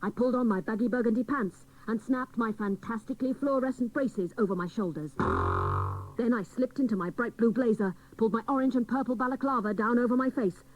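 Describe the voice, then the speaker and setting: A woman playing a dotty private detective, narrating in deadpan, mock hard-boiled style for comic radio drama. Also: fairly high pitch, brisk, highs cut off, faint music behind, natural voice.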